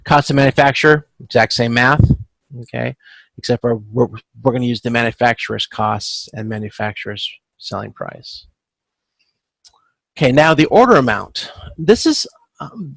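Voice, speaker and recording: A man with a creaky voice working as an instructor, giving an informal, explanatory step-by-step tutorial. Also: medium pitch, no echo. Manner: explanatory, checks in with frequent "okay". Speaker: instructor leading a supply chain class tutorial. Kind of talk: informal step-by-step tutorial over video conference. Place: close, dry-sounding video call.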